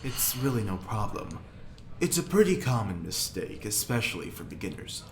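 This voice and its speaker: A man's voice: on the low side, sing-song, moderate pace, roomy sound, slight background noise. A man playing a genteel, encouraging prisoner who leads a knitting circle in an audio drama, fussy and courteous. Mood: kindly, encouraging.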